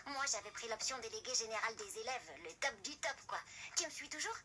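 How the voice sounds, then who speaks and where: A woman voicing a chatty, dramatic, ambitious teenage schoolgirl heroine in a French animated series, confiding theatrically in the listener. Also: fairly high pitch, fast, a little hiss or hum. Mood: earnest, insistent. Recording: music behind the voice.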